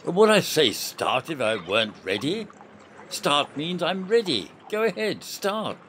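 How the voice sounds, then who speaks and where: A man's voice: on the high side, sing-song, measured pace, a bit bright, slight background noise. A man acting in an amateur radio play as a proud, stubborn general, speaking in a formal, pedantic and emphatic way. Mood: stubborn, testy.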